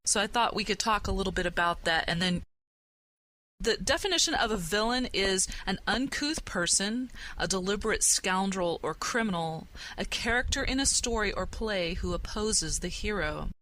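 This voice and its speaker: A woman's voice: mid-range voice, lively intonation, moderate pace, pushed and bright, poor audio. A woman hosting a podcast, presenting topics and leading discussion. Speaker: podcast host.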